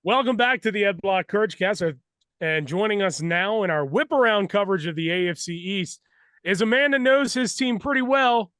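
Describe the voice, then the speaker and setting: A man's voice: sits high, moderate pace, fairly bright tone, clean tone, no echo. A man hosting a sports podcast, introducing guests and moving the show along. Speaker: podcast host.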